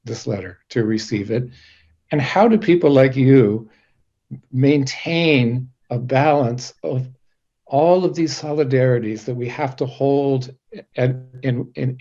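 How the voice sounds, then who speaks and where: A man moderating a panel, putting measured questions in careful, earnest phrasing. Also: medium pitch, dark tone, muffled recording.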